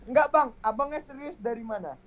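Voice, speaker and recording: A woman's voice: fairly high pitch, normal speed. Curious woman interviewing people on the street.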